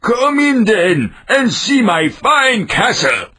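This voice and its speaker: A man's voice: sits high, big pitch swings, sharp tone, breathy edge, pitched above the performer's own voice, heavily acted. A man doing a hollering, grouchy ogre. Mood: gruff. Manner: hollering, drawn-out. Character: gruff, irritable castle ogre, male.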